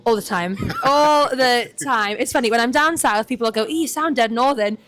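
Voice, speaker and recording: A woman from Newcastle with a mixed Geordie and southern English accent, a podcast guest talking in a quick, earnest, upbeat way. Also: on the high side, a bit bright, a little hiss or hum. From Newcastle, England. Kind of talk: casual chat.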